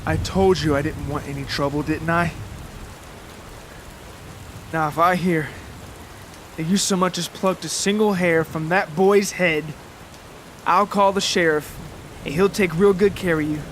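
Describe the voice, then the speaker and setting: A man voicing a stern, protective neighbor in a storytelling podcast, giving slow, emphatic warnings. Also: a little high, steady tone, a bit bright, slight background noise. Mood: stern, threatening.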